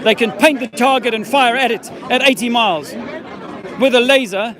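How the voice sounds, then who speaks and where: A man's voice: very high voice, normal speed. A man in his fifties, an army veteran filming himself outdoors, talking in a profane, rambling, insistent way. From South Africa. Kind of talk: street-corner conspiracy monologue. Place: outdoor public speaking park. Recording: outdoor crowd noise behind.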